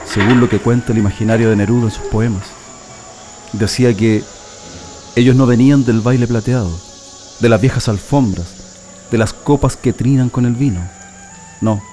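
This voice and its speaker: A man's voice: medium pitch, mellow, close to an everyday voice. An actor playing a proud, nostalgic political prisoner in a radio drama, telling his story reflectively with a Chilean accent. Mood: earnest, reflective, then solemn, nostalgic. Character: male political prisoner, proud nostalgic communist militant, immigrant's son.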